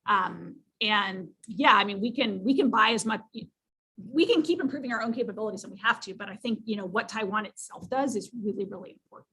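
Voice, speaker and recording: Chatty woman with a husky voice. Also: medium pitch, fairly quick, bright, forceful tone, rough recording. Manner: conversational, many fillers like "you know" and "like". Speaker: seminar speaker. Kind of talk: academic Q&A answer.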